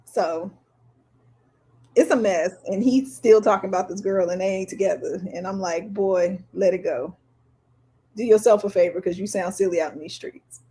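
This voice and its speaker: A woman's voice: mid-range voice, normal speed, warm tone, breathy edge. An American woman giving candid, emphatic opinions in slangy, casual podcast chat as a co-host.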